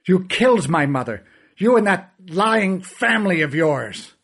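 A man voicing a furious, betrayed young man in an audiobook, shouting his accusations with force. The voice is husky and swings widely in pitch. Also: sing-song, measured pace, full character voice. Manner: shouting, vehement.

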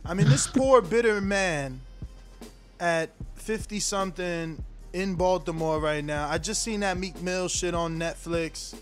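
A man's voice: high-pitched, unhurried, soft background music. A man in his forties hosting a call-in show, brash, profane and teasing with callers. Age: 40s. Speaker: host.

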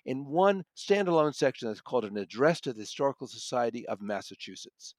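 A man's voice: medium pitch, very animated, a little fast, dry recording. Enthusiastic male book critic, dry sound. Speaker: audiobook critic and podcast guest. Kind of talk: conversational book review.

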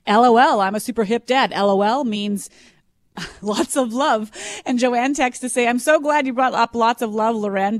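Animated woman radio co-host. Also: medium pitch, no room sound. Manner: animated, acts out quotes. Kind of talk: morning radio talk. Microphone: studio mic, separate room.

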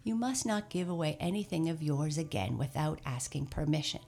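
A woman's voice: sits low, expressive, normal speed, vocal fry, a little mellow, soft background music, exaggerated voice. A woman voicing a stern elderly aunt who speaks firmly and scolds. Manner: firm, scolding.

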